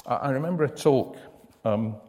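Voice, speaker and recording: A man preaching a sermon with a loud voice, emphatic and given to repeating his words as he starts a sentence. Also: medium pitch, moderate pace, long reverb tail.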